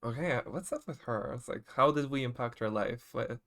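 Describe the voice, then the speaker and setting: A man giving casual livestream reaction commentary as a game streamer, expressive and exclamatory, often halting mid-thought. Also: medium pitch. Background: game trailer music.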